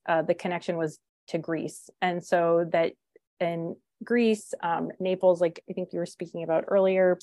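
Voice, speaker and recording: A woman with a somewhat low voice, appearing as a guest on a food podcast and explaining things carefully, full of small hedges. She sounds close and dry, with no echo around her. Her tone is measured and considered. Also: a little mellow.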